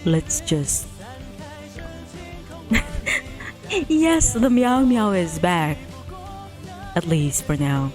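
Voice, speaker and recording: A woman hosts a casual game stream with music playing behind her. Her voice sits a little low and moves at a very slow pace. She is giggly and quick to exclaim. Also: big pitch swings, darkish tone. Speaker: livestreamer host. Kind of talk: casual livestream chat while setting up and playing a visual novel. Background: background music.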